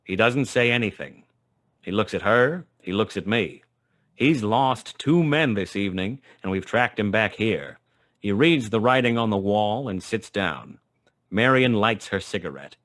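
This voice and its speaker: A man's voice: on the low side, expressive, measured pace, a bit bright. An actor playing a wry, terse private detective who narrates his own case in a noir radio play. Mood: wry narration. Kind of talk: 1940s noir radio play acting, first-person narration.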